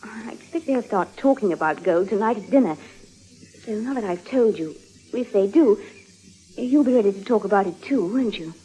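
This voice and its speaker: An actress playing an earnest, anxious young English niece, eager and pleading, in old radio drama style. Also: female voice, medium pitch, expressive, normal speed, mellow, slightly rough. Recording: dull lo-fi old radio recording.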